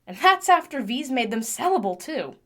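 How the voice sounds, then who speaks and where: Female actor as rambling mechanic, high lively voice, affectionate, gentle, conversational audio drama. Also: normal speed, full character voice. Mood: gentle, chatty. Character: chatty, gentle woman mechanic doting on a broken machine.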